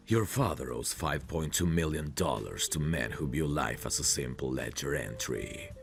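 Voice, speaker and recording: A man playing a possessive, protective mafia boss in an intimate audio roleplay, calmly menacing, commanding and smug. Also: full character voice. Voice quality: deep, gravelly. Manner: slow, commanding, smug, teasing endearments.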